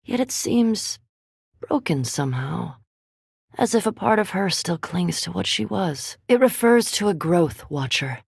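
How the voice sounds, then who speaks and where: Erudite vampire scholar, female, fantasy game. Low, breathy-edged voice, precise formal delivery, cool curiosity, close dry recording. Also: lively intonation, a little slow, dry recording, exaggerated voice. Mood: dry, analytical. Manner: formal, precise, dryly intellectual. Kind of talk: scripted fantasy game dialogue.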